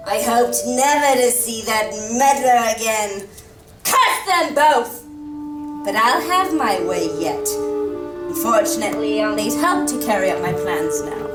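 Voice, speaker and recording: A woman plays a vengeful schemer in a staged radio drama. Her voice is a little high. It is captured from a distance in a roomy space, with music clearly behind. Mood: bitter, vengeful. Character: vengeful sneaking villain, cold and scheming. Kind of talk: stage radio play acting.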